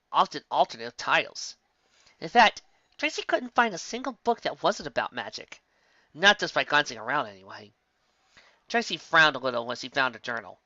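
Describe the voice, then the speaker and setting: A narrator giving an expressive storytelling reading of fan fiction. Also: mid-range voice, dry recording. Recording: dull, muffled home recording.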